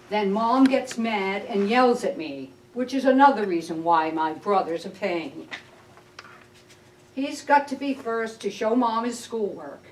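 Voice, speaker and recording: A woman reads a picture book aloud in a roomy, echoing space, a little away from the microphone. Her pace is slow and deliberate, with expressive storytelling delivery and a medium pitch. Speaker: library storyteller. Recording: roomy echo, distant mic.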